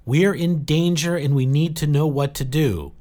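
A man's voice: high-pitched, very animated, measured pace, pitched above the performer's own voice. A man voicing a weary, determined woman in a story, direct and urgent in short sentences. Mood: patient. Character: weary, frank, determined woman leading a desperate group.

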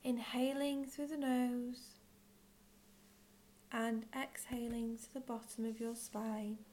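A woman leading a guided visualisation in scripted narration, with measured, gentle phrasing and long pauses. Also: fairly high pitch, little pitch movement, slight background noise. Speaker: guided meditation leader.

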